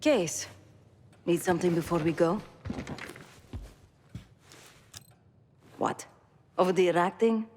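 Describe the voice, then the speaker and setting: A woman's voice: fairly low pitch, moderate pace, a little mellow, big-room sound, slight background noise, natural voice. An actress playing a pragmatic, unsentimental mercenary woman in a video game, blunt and drily sardonic. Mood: dismissive. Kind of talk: video game character dialogue.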